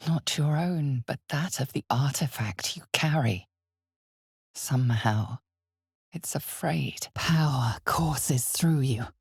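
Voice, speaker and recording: A woman playing an ominous, knowing narrator in a dark fantasy video game, with a husky, gravelly voice and measured, hushed, theatrical delivery. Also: extremely low pitch, no room sound, studio quality, exaggerated voice. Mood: hushed, intense. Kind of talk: dramatic scripted second-person fantasy game narration.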